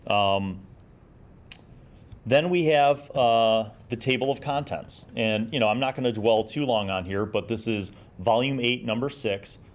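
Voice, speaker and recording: A man in his forties giving a casual, nostalgic review in a conversational, halting way. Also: mid-range voice. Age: 40s. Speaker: host of a gaming magazine review series.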